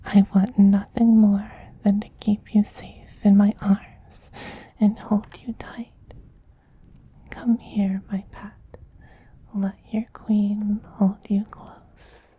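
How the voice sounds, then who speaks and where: Casting: male actor for doting femboy vampire queen, ASMR roleplay. Very breathy whisper, slow, coaxing, possessive yet tender. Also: high-pitched, little pitch movement, dark tone, lo-fi sound, heavily acted. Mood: soothing, protective.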